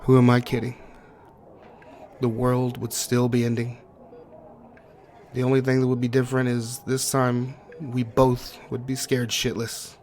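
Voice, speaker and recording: A man acting as a grieving, guilt-ridden partner in an intimate audio roleplay, speaking tenderly and haltingly to a sweetheart. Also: mid-range voice, close to an everyday voice. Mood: guilty, distraught. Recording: clearly noisy track with ambience.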